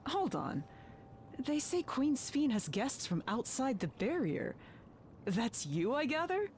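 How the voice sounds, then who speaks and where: A woman's voice: high voice, big pitch swings, slow pace, a little mellow, slight background noise, exaggerated voice. A woman voicing a middle-aged village woman in a game cutscene who speaks hesitantly, unable to recall her past. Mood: friendly. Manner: hesitant, searching for lost memories. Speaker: game character.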